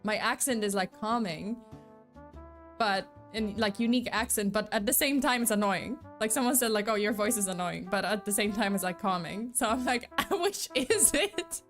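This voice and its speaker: A woman's voice: mid-range voice, lively intonation, moderate pace, a bit bright, faint music behind. A young woman with a slight mixed accent, not a native English speaker, rambling in a casual live-stream chat with lots of fillers. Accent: slight mixed non-native.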